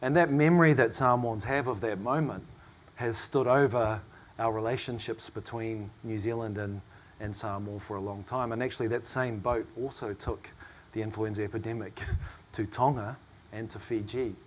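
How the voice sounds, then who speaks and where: A middle-aged academic man chairing a panel discussion, hoarse and losing his voice from a cough, speaking in a reflective, measured way. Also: fairly low pitch, fairly even intonation, slight background noise. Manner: reflective, measured, with soft asides and small laughs.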